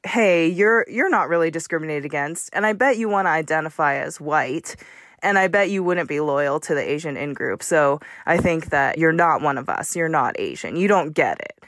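A woman's voice: low-pitched, expressive, moderate pace, fairly bright tone, no echo, clean studio sound. A woman professor answering interview questions in a thoughtful, academic way.

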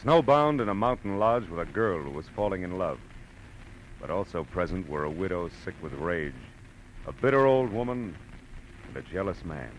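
An actor playing a weary, hard-boiled private detective, narrating in a brooding, clipped 1940s radio noir style. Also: male voice, low voice, lively intonation, normal speed, slight background noise, rough recording. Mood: ominous. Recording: old radio broadcast with music behind. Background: dramatic music.

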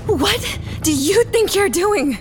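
A woman's voice: very high voice, animated, slow pace, exaggerated voice. An actress playing an exasperated, battered woman in an audio drama. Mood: exasperated, upset. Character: exasperated, battered woman rejecting her would-be rescuer. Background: music.

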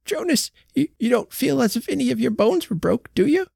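A woman's voice: on the high side, expressive, brisk, gravelly, mellow, slightly rough, dry recording, clean studio sound, voice pushed up, heavily acted. A man voicing a frail, frightened old farm wife who pleads anxiously with her husband. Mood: anxious pleading. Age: older. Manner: pleading, fluttery.

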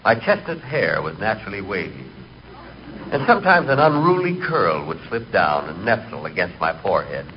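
A man's voice: low-pitched, wildly swooping pitch, moderate pace, exaggerated voice. A man acting a timid, neurotic and comically vain storyteller in 1940s radio comedy. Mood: deadpan, confiding. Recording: muffled, hissy 1940s radio recording.

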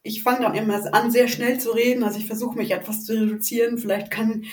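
A woman's voice: a little high, little pitch movement, quick pace. A woman in her forties with a northern German accent, chatting hesitantly as she tells her own story as a podcast guest. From Rostock, northern Germany. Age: 40s (48). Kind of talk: personal story in a casual interview. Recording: echoey room, distant from the mic.

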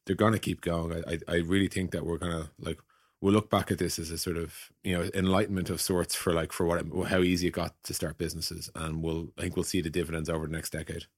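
A man's voice: sits low, flat delivery, fast, no room sound, studio quality. A man hosting a casual tech podcast discussion in an informal, joking manner. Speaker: podcast host.

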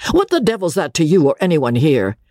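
A man voicing a hot-headed, haughty young army officer in a storybook reading, with old-fashioned gentlemanly speech. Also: mid-range voice, very animated, a little slow, breathy edge, no room sound, studio quality, heavily acted. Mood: indignant.

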